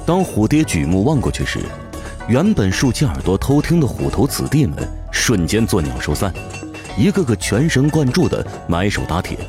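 A man narrating an audio drama in an expressive, theatrical storytelling style, reading from a script. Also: on the low side, normal speed, music in the background.

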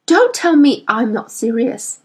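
A woman's voice: a little high, sing-song, unhurried, breathy edge, exaggerated voice. Woman doing a tense, guarded young boy. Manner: strained, urgent scolding. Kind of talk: audiobook character voice.

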